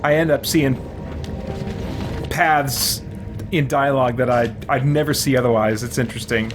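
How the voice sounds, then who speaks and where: A man's voice: medium pitch, fairly even intonation, moderate pace. A man hosting a let's play, giving rambling, informal commentary over a video game. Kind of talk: casual commentary over gameplay. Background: game music.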